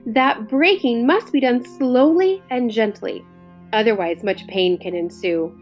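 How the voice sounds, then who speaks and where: A woman voicing a calm, wise alien matriarch who speaks in a measured, solemn way. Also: on the high side, faint music behind, close to an everyday voice.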